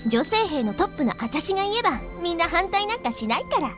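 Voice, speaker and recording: An actress playing a brisk, bossy, cheerful female military officer in a Japanese visual novel game. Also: extremely high pitch, sing-song, music in the background.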